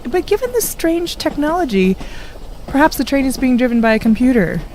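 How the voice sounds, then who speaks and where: Woman playing a lively-toned, questioning companion. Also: high voice, fairly quick, slight background noise, poor audio. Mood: inquiring. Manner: plain, inquiring, to the point. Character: level-headed, questioning female companion to a time traveller. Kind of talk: audio drama acting.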